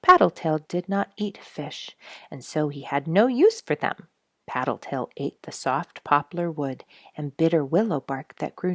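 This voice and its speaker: A woman's voice: deep voice, no room sound, studio quality. A woman reading a children's storybook aloud and chatting encouragingly to young listeners as host. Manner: encouraging, addresses young readers directly. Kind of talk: read-aloud children's storybook with casual asides.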